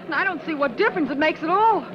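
A woman's voice: high voice, lively intonation, fast. An actress playing a flustered, rambling working-class mother in a 1940s radio drama. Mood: frantic. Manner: breathless, fussy, rambling. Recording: dull old radio recording with hiss.

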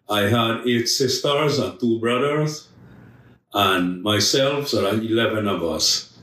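A man from Guyana, a missionary guest telling his life story in a podcast interview, slow and earnest with emphatic stresses. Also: mid-range voice, a bit bright. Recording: echoey room, voice from a distance.

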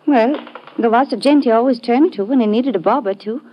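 On a slightly muffled vintage recording, an actress plays a British barmaid in a radio mystery. Her voice is fairly high, and she is guarded and terse in her answers. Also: brisk, natural voice. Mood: subdued, guarded. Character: guarded working-class British barmaid, estranged wife. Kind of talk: old-time radio detective drama acting.

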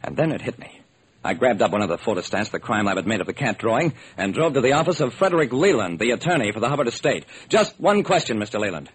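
An actor playing a brisk, determined hard-boiled male private detective, narrating and acting in a classic radio crime drama. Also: mid-range voice, sing-song, poor audio. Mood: intent, clipped. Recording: old, slightly rough radio recording.

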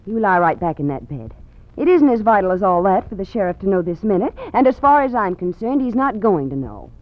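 An actress playing a firm, protective young daughter in an old radio drama. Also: female voice, mid-range voice, very animated, brisk, lightly acted. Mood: caring. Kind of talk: 1940s radio acting. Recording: muffled old radio with faint hiss.

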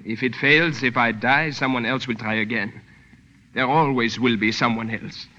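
An actor playing a humble but resolute French resistance man in a 1940s radio drama, speaking formally and in a measured way. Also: mid-range voice, fairly even intonation, pushed and bright, close to an everyday voice. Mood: solemn, resolute. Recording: dull vintage radio recording.

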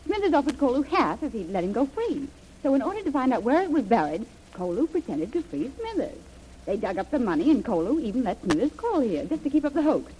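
A woman's voice: sits high, very animated, quick pace, warm tone, dull audio. An actress playing a clever, breezy, self-assured woman in an old-time radio adventure. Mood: triumphant. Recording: faintly noisy old radio recording.

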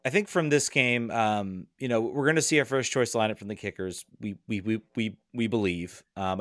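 A man giving casual soccer analysis as a podcast guest, halting with many pauses and restarts. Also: fairly low pitch, a bit bright, no echo, studio quality.

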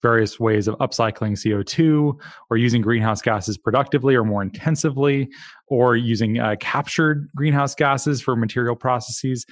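A man's voice: mid-range voice, normal speed, dry recording. Playful man hosting a podcast, dry sound. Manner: chatty, playful asides and self-deprecating jokes. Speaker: podcast host. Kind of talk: conversational interview.

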